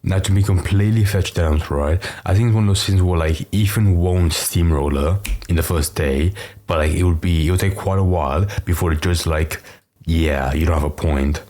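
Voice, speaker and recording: A man with a very low, deep voice giving casual reaction commentary on a stream. He rambles with many fillers and frequent swearing. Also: brisk, a little mellow. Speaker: stream co-host.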